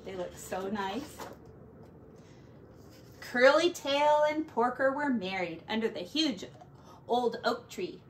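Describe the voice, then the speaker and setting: A middle-aged woman reading a picture book aloud to children like a teacher, with deliberate, drawn-out words and little asides. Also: fairly high pitch, expressive, slight background noise. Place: small furnished room at home.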